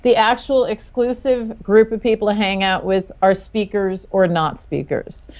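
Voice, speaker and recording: A woman's voice: medium pitch, fairly even intonation, unhurried, darkish tone. A woman panelist talking casually and with strong opinions in a group discussion, outspoken and wry.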